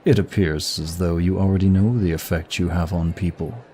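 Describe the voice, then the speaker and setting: A man's voice: sits low, fairly even intonation, moderate pace, warm tone, slight background noise, close to an everyday voice. A man playing a smug, seductive demon of lust in an intimate ASMR roleplay, with suave, teasing and formal flirtatious phrasing.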